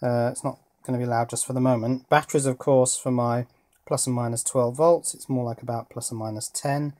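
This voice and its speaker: A man's voice: mid-range voice, steady tone, brisk, darkish tone. A man giving a casual hands-on electronics demonstration, thinking aloud a little hesitantly as he goes. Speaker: electronics hobbyist presenter. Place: indoors at a workbench.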